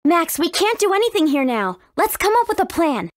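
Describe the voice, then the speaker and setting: A woman with an American accent playing a spirited, earnest teenage warrior princess in an English video game, with expressive, emphatic delivery. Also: extremely high pitch, fairly quick, no echo, clean studio sound. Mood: thoughtful, explaining.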